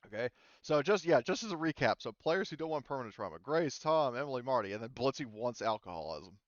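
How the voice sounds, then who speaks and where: A man acting as game master, explaining tabletop game rules to a group in a casual, halting, informal way. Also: mid-range voice, sing-song, dry recording, lo-fi sound.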